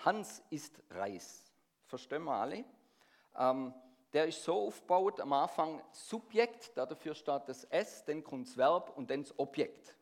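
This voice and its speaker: A middle-aged man with a Swabian accent softened by Swiss dialect preaches a sermon. His voice sits a little higher than most men's. He keeps turning to the congregation with questions and draws answers out of them. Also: big pitch swings, measured pace. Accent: Swabian-tinged Swiss German. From Swabia, near Stuttgart. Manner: engaging, asks the congregation questions.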